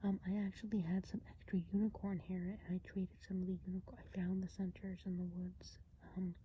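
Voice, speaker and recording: A woman whispering an ASMR roleplay as a kindly woodland witch, breathy and gentle, explaining things in an unhurried way. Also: on the low side, level tone. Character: kindly woodland witch who sees herself as fairy godmother. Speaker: ASMR performer. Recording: dull, muffled audio with clear noise.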